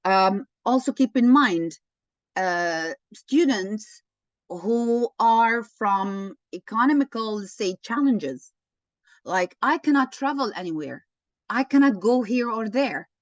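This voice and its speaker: A woman leading an online teaching session, slow and encouraging, often thanking participants. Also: on the high side, expressive, no echo. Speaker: webinar lecturer. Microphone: video call. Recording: dull online call audio.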